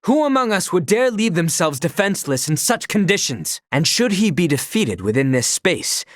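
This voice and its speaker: An actor playing an earnest, loyal young knight in a video game, speaking in formal, old-fashioned courtly phrasing. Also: male voice, high voice, sing-song, normal speed, dry recording, clean studio sound. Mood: urgent, resolute.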